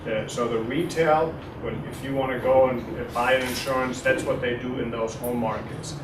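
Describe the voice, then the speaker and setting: Middle-aged German-accented lecturer, hesitant and chatty, recorded from afar in a noisy room. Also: male voice, medium pitch, normal speed. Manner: relaxed, hesitant with many fillers, quizzes audience. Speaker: guest lecturer. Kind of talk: university talk with audience questions. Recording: echoey room, distant, noisy.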